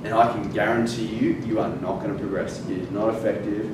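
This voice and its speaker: A man's voice: on the low side, fairly even intonation, brisk. A male fitness educator giving a classroom lecture, emphatic and punching key words, with frequent fillers. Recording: very echoey room from far off, noisy.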